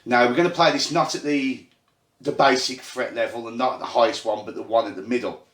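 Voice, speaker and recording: A man from Ireland with a Birmingham accent, casually hosting a tabletop game night and explaining the rules in a halting, self-deprecating way with plenty of fillers. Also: medium pitch, fairly even intonation, a bit bright. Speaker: host and game runner. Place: indoor room at a gaming table. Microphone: phone. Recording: echoey room on phone mic.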